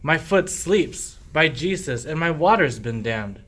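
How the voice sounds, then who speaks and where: Sly, weary henpecked husband played by a man, slow theatrical verse delivery, scheming comic rogue for radio play. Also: on the high side, animated, a bit bright, slightly rough, full character voice. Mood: scheming, furtive. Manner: theatrical, declaiming rhymed verse. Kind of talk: verse radio play acting of a medieval comedy.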